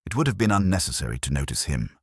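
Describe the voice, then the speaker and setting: A man's voice: low-pitched, very animated, quick pace, husky, dry recording, clean studio sound, heavily acted. A man voicing a prim, discreet female council clerk with formal, careful wording, in an audiobook mystery. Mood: coolly discreet.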